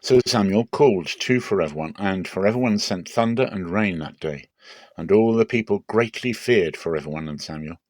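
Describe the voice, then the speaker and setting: Guy reading bible passages dramatically, slow careful phrases, really dry recording. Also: deep voice, no echo. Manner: measured, deliberate phrasing with pauses. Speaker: Bible reader.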